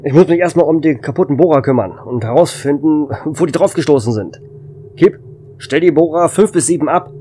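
A man's voice: a little high, a little fast, warm tone, a little hiss or hum, lightly acted. A man playing a tense space station operator in an amateur German sci-fi audio drama. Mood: alarmed.

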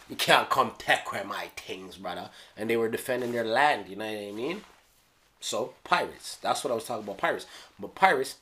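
A Jamaican man in his thirties casually explaining his own rap lyrics in a small room, playful and slangy, sometimes dropping to a hush. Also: lowish voice. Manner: playful, slangy, drops into hushed asides. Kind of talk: casual solo video commentary. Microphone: studio mic on stand.

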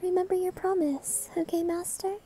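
A woman's voice: very high-pitched, steady tone, normal speed, mellow. A woman playing a sweet, bubbly, clumsy young maid in an ASMR roleplay, with a cutesy, teasing, eager manner. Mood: sweet, pleading.

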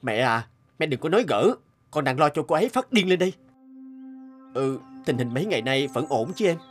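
Earnest, devoted young cartoon groom. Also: male voice, on the high side, sing-song, a little fast, soft background music. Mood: worried. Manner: earnest, pleading. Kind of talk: cartoon dubbing.

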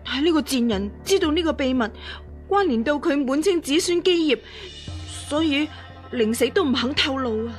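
An actress playing a scheming false empress who is cowed, fawning and hesitant, in a Cantonese radio drama. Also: female voice, sits high, animated, moderate pace, soft background music. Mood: bitter. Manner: hesitant, stammering, obsequious.

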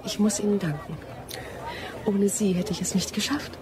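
A woman's voice: medium pitch, moderate pace, a crowd in the background, natural voice. Actress as spirited young lady, noisy background. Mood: tender, wistful. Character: spirited young English lady traveller, warm and teasing. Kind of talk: German radio drama acting.

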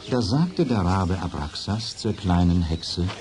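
Low-voiced, animated male storyteller. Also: unhurried. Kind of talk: scripted storytelling narration for children's radio play. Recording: faint noise of vintage record.

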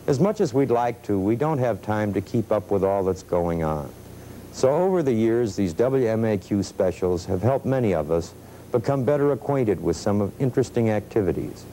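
Low, mellow middle-aged American man, noisy tape. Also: expressive, moderate pace. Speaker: bank executive introducing a sponsored program. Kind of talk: scripted sponsor address. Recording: noisy, rumbling old videotape.

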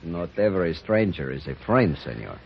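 An actor playing a courteous, insinuating Mexican town mayor in a 1950s radio western, with a Mexican accent. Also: male voice, low-pitched, very animated, normal speed, vocal fry, slightly rough, full character voice. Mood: suave, guarded. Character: smooth, sly red-headed Mexican-Irish town mayor. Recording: dull, muffled 1950s radio recording.

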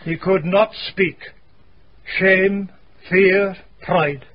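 An actor playing a grave, stern Scottish minister in a radio mystery, speaking solemnly and deliberately. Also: male voice, sits high, fairly even intonation, slightly rough, full character voice. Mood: anguished resolve. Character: grave elderly Scottish minister, self-deprecating and morally stern. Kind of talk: dramatic radio acting. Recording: dull, faintly noisy radio recording.

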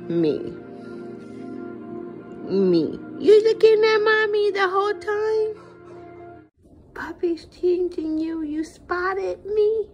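A young woman, a mother, narrating a casual family vlog at home into a close-held phone, softly cooing to her baby. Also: very high-pitched, sing-song, extremely slow, long pauses, dull audio, soft background music. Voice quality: soft, cooing baby talk. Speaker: vlogger mother. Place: home living room. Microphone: phone camera held close.

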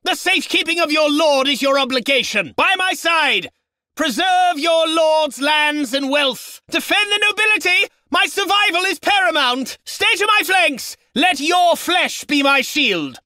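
A man's voice: very high voice, bright, forceful tone, no room sound, clean studio sound, full character voice. A man voicing a haughty, condescending medieval nobleman in a video game, with pompous, ornate enunciation and theatrical delivery. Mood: imperious, urgent. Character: snobby, haughty medieval nobleman, contemptuous of peasants.

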